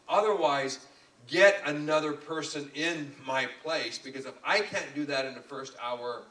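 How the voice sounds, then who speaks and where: A man's voice: fairly high pitch, sharp tone. A man giving an emphatic, folksy motivational business talk, repeating phrases for effect. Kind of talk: live motivational seminar talk. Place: room with a live audience. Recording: roomy echo, distant microphone.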